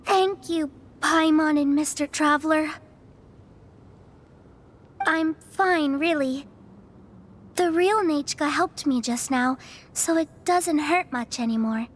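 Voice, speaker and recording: A woman playing a frail, timid young girl in a video game, earnest and hesitant, speaking softly. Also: sits high, takes it slowly, pauses often. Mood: grateful, reassuring. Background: music.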